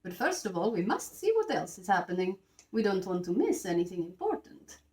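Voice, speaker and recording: A woman acts out a kindly older gentleman from a children's storybook. He is courteous and tactful, with a lively rise and fall of pitch, heard in an echoey room. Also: fairly quick, recorded from a distance, natural voice. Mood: mysterious, encouraging.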